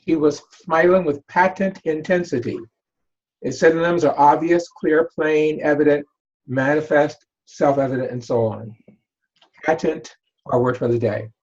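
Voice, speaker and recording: A man serving as grammarian at an online meeting, speaking in a measured, precise way. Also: a little high, steady tone, darkish tone, muffled recording. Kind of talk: defining a word for an online meeting. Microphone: video call.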